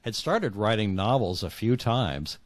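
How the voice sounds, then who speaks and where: Deep-voiced middle-aged man telling his story. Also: very animated, no room sound. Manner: halting, repeats words for emphasis. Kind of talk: reflective personal storytelling in an interview.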